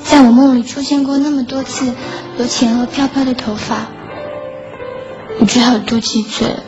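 A woman acts as a lovelorn schoolgirl in a radio drama, with a fairly high voice. She is wistful, earnest and quietly self-effacing as she tells of her heartbreak. The audio is dull and lo-fi, with clear music underneath. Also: fairly even intonation, normal speed, close to an everyday voice. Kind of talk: Chinese radio drama acting with inner monologue.

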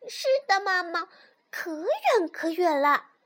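A woman acts out a bold little fox child for a children's storybook. The voice is high and childlike, with lively leaps in pitch. Every line comes out eager and emphatic, full of boastful confidence. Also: takes it slowly, heavily acted. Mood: eager, defiant.

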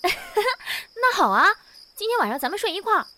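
A woman's voice: extremely high pitch, huge pitch swings, moderate pace, pushed and bright, heavily acted. A woman acting a cheerful, easygoing schoolgirl who playfully teases her best friend in a modern audio drama. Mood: cheerful, teasing.

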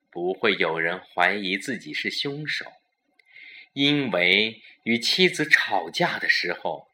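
A man's voice: deep voice, sharp tone. A man narrating a suspense audio story in a dramatic storytelling style.

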